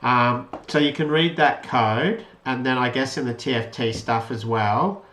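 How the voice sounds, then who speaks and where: A man's voice: medium pitch, a little slow, fairly bright tone. A man giving an informal, unscripted tutorial walkthrough of an electronics project, explaining step by step and rephrasing as he goes. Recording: roomy, echoey voice pickup.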